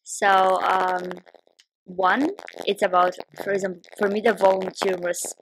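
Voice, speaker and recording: Brazilian woman, hesitant and halting. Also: medium pitch, little pitch movement, a bit bright, a little hiss or hum, poor audio. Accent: Brazilian Portuguese. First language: Portuguese. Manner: hesitant, many ums and restarts. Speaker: podcast guest. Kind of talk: casual interview chat.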